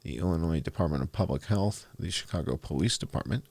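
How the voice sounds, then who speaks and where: A man hosting a commentary, reading documents aloud in a deliberate, announcer-like way with dry, sardonic asides. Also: very deep voice, lively intonation, darkish tone, dry recording. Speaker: host presenting and reading documents.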